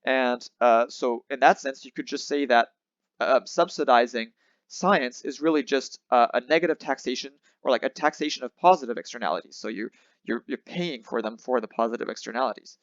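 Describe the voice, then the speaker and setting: A man's voice: mid-range voice, fairly quick, no echo, dull audio. A man hosting a show who comments on a debate clip in a casual way, thinking aloud with fillers and restarts. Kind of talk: reaction commentary.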